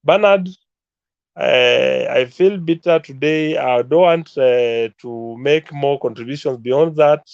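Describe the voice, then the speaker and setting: A man with a Kenyan accent speaking in a halting, pointed way as a panelist in a political debate. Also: medium pitch, gravelly, clean tone, dry recording, highs cut off.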